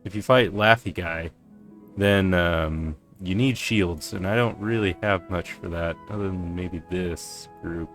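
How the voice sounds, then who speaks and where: A man giving casual, low-key playthrough commentary, rambling as he thinks aloud. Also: unhurried. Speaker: game streamer. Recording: game music behind the voice. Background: game music.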